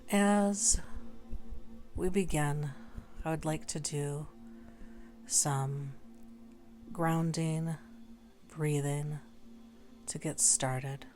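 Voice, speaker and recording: A woman leading a guided meditation in a gentle, unhurried way, leaving long pauses between short phrases. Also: deep voice, steady tone, faint music behind. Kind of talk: slow guided meditation narration.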